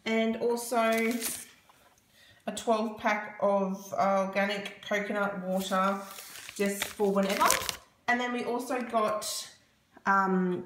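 Chatty Australian woman in an echoey kitchen. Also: mid-range voice. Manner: chatty, many ums, rambling price tallies. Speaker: vlogger. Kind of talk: casual grocery haul chat. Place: home kitchen, indoors. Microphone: phone camera held over the counter. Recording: echoey room with loud background noise. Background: husband and dog heard.

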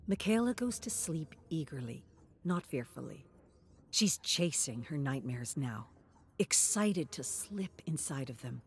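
A woman narrator reading a scripted dramatic story in a measured, suspenseful way, with pauses. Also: lowish voice, a little mellow.

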